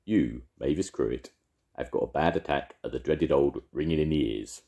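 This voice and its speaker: A man's voice: extremely low pitch, lively intonation, moderate pace, warm tone, lo-fi sound. A man voicing a bossy, self-confident male caterpillar in a storybook, declaring things pompously. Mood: blunt, knowing.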